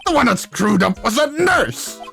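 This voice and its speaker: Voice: mid-range voice, sing-song, moderate pace, creaky, fairly bright tone, faint music behind, voice pushed up. An amateur dubber voicing an angry, pompous male surgeon who rants indignantly in a video game. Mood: outraged ranting. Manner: indignant ranting with exclamations. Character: angry, pompous, self-important male surgeon, easily outraged.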